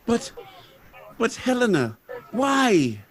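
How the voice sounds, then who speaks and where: A man's voice: extremely high pitch, wildly swooping pitch, slow, heavily acted. A man playing a troubled, grave factory director and husband in a dramatic 1930s-style radio play. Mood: shocked despair.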